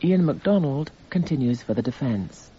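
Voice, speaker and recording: An actor playing a detached, formal narrator who itemises evidence in clipped phrases for a radio drama. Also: male voice, medium pitch, lively intonation, normal speed, mellow, breathy edge. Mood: detached, descriptive. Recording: slightly muffled radio broadcast.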